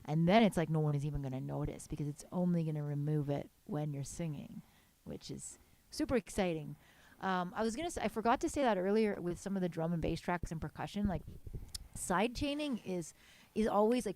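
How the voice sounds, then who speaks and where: A young woman with a low voice, casually giving detailed, enthusiastic music mixing feedback on a live stream. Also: lively intonation, a little fast, a little mellow. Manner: enthusiastic, detailed mixing tips with many fillers. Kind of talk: casual technical feedback chat.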